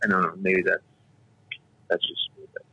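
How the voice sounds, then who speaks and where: A man's voice: deep voice, completely flat delivery, moderate pace, sharp tone, slightly rough. A man in his sixties, a radio talk show host, telling stories in a rambling, casual way full of fillers and restarts. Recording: dull, lo-fi radio audio.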